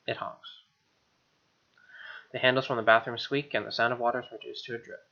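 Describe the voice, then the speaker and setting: A man reading visual novel narration aloud as a let's player, expressive, with casual asides and reactions. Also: medium pitch, fairly quick. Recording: dull lo-fi mic, music clearly behind. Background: game music.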